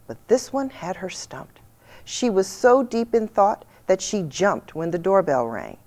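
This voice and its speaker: A woman reading a children's picture book aloud with expressive storytelling delivery. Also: medium pitch, a little slow. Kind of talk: storybook read-aloud narration.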